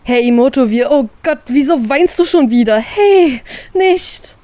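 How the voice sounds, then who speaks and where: A woman voicing an excitable, protective big brother pirate who gets flustered and exclaims. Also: high-pitched, measured pace, dry recording, pitched above the performer's own voice. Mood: panicked.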